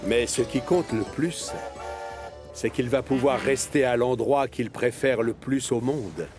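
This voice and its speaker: Guy narrating a kids cartoon, expressive storyteller, medium pitch, clear music behind him. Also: unhurried. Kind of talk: scripted children's story narration.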